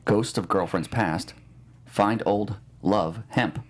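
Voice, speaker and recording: Guy with a really low voice running a quiz, jokey, rambles, lots of uhs. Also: slow pace. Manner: joking, rambling with filler words. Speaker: host running a movie title quiz. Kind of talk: casual podcast banter.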